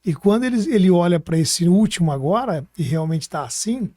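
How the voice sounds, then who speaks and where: A man's voice: sits high, expressive, brisk, mellow, no echo, studio quality. A man with a Brazilian Portuguese accent chatting informally as a podcast host, thinking aloud as he goes. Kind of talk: casual podcast conversation.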